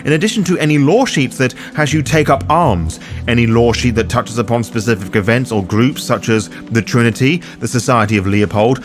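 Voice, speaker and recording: A man narrates a scripted guide as its host. His mid-pitched voice rises and falls in an animated way, with a wry, informal manner. Music plays behind him. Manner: wry, casually sweary asides. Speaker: host of a roleplaying game guide.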